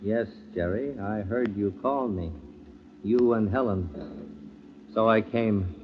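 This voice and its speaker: A dead husband come back, in vintage radio horror. He speaks very slowly and deliberately, with eerie, unbending insistence. The recording is muffled with some noise. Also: lowish voice. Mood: eerily calm. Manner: slow, deliberate, eerily insistent. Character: implacable undead husband returned for his betrayers. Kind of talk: old-time radio drama acting.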